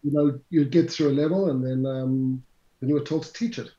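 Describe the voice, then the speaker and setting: A middle-aged man with a South African accent talks through his career in a chatty, lecturer's way, sometimes speaking to listeners directly. His voice is dark and mellow. It sits in a roomy space and sounds a little dull. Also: mid-range voice, steady tone, fairly quick. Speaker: CFA instructor, guest. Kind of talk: conversational interview answers.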